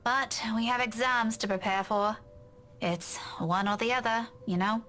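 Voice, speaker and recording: Bright, weary, prickly young woman. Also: a little high, steady tone, a little slow, slight background noise. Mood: weary, placating. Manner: curt, restrained. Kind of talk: visual novel voice acting.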